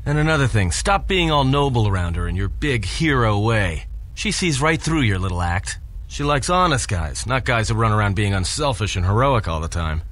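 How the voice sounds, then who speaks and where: An actor playing a jealous, hot-headed young rogue in a video game, with a sardonic, needling way of talking. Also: male voice, lowish voice, very animated, normal speed, a bit bright. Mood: jealous scolding. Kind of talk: video game dramatic acting.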